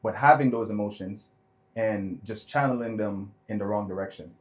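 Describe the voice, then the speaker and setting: A man speaks in a fairly low voice as a podcast guest. He works through his thoughts slowly and earnestly, reflecting on his upbringing in a conversational way. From born in Florida, grew up in Jamaica. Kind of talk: personal storytelling in conversation.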